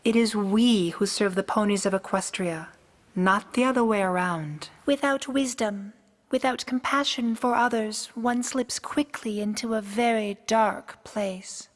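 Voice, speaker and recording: An ancient pony princess, acted by a woman, regal and solemn. She speaks in measured, formal phrases in a middle register, as in a fan-made radio play. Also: mid-range voice, close to an everyday voice. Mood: gracious, wise. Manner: formal, measured, grave diction.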